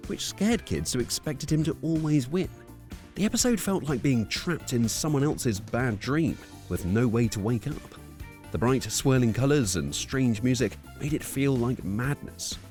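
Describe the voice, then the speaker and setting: A man's voice: mid-range voice, a little mellow. A man reading scripted narration for a countdown video. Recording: music under the voice.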